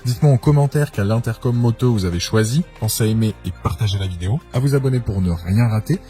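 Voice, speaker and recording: Fast-talking low-voiced man reviewing products. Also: dark tone. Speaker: product reviewer presenting a buying guide. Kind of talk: scripted product review narration. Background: background music.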